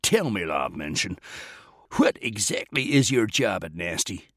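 A man voicing a bellowing, blustering retired American major general in a comic story, with a gravelly roar, an American accent and bossy, folksy exclamations. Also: low-pitched, big pitch swings, unhurried, a bit bright, dry recording, clean studio sound, exaggerated voice. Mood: weary grumbling. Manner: folksy old-fashioned exclamations, exasperated, bossy drawl.

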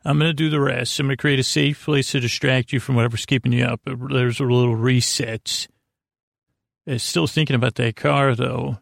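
A man with a creaky voice hosts a bedtime podcast, rambling in a halting, self-interrupting, goofy and self-deprecating way. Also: medium pitch, steady tone, no room sound, clean studio sound. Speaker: podcast host.

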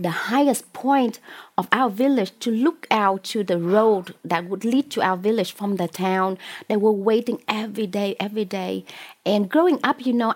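Soft-spoken Vietnamese-accented woman. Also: mid-range voice. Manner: hesitant, many fillers and restarts. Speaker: author and poet. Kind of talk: conversational interview.

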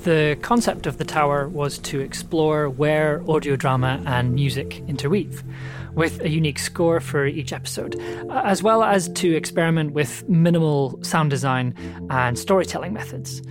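A man presenting the show and reading a measured, reflective introduction. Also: fairly high pitch, music in the background. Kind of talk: scripted narration.

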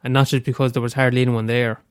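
A man's voice: mid-range voice, level tone, quick pace, clean tone, no echo, studio quality. A young Irish man with a head cold, reading aloud a short story he wrote, after a hesitant casual introduction. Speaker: amateur storyteller.

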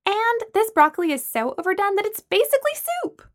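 An outspoken young woman, acted by a woman narrator in an audiobook. Her voice is high and swings widely. She is blunt and indignant, pushing like an interrogator. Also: huge pitch swings, unhurried, bright, forceful tone, heavily acted. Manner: blunt, emphatic, high-pitched.

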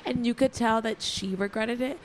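A young adult woman with a slightly raspy voice, chatting casually and gossipily as a podcast co-host, often echoing and agreeing. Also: a little high, normal speed, slight background noise. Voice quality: a little raspy. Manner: gossipy, agreeing, repeats phrases. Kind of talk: casual chat.

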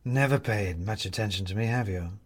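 A man's voice: on the low side, brisk, husky. A man voicing a haughty, sly cat in an audiobook, drawling with disdain and contempt for humans. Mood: smug disdain. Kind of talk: audiobook character voice.

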